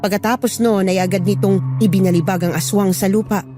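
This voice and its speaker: Female narrator, mid-pitched, scripted horror storytelling, music behind. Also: fairly even intonation, fairly quick.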